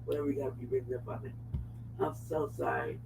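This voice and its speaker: A raspy-voiced woman co-hosting a casual live show, still groggy from sleep and talking in a loose, scattered way. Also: extremely low pitch, fairly even intonation, fast talker. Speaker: co-host. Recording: far from mic, noisy line.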